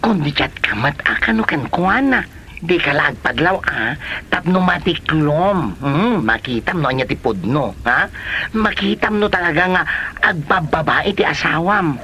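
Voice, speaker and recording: A woman's voice: low-pitched, expressive, a little slow, pushed and bright, slight background noise, heavily acted. A woman playing a scheming, gossipy friend in an Ilocano comedy drama. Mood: conspiratorial, urging. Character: scheming, gossipy female friend egging another on.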